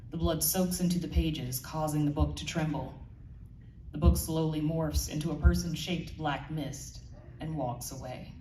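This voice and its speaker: A woman's voice: deep voice, mellow. A woman giving a live scripted narration of her audio drama, heard in a roomy space away from the mic. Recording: echoey room, distant mic, faint noise.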